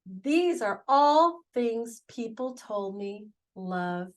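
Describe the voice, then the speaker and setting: A woman who hosts a children's storytime, reading a picture book aloud in an expressive, animated way. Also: on the high side. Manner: animated, unhurried delivery. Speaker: children's storytime host, reader.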